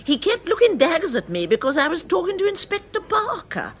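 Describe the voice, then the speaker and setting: An actress playing a scatty, gossipy elderly duchess with an upper-class English accent in a radio drama. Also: female voice, extremely high pitch, sing-song, a little fast, a bit bright, exaggerated voice. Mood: confiding. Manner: gossipy, rambling, effusive.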